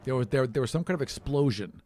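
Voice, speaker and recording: A man runs a tabletop role-playing game as its game master. He improvises descriptions in a conversational way, in a mid-pitched voice, with music playing behind him. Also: lively intonation, fast talker, a little mellow. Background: background music.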